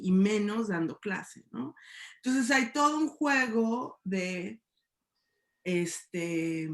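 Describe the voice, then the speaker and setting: A woman's voice: medium pitch, reverberant. A middle-aged woman with a Mexican Spanish accent, a sociology professor talking thoughtfully in a debate, often asking "¿no?". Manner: thoughtful, many hedges and tag questions. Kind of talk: reflective debate talk.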